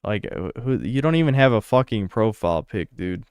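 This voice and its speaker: Low, blunt, crude male streamer. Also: very animated, normal speed, darkish tone, clean tone, no room sound, studio quality. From United States. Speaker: livestreamer reading donations. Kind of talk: casual stream chat.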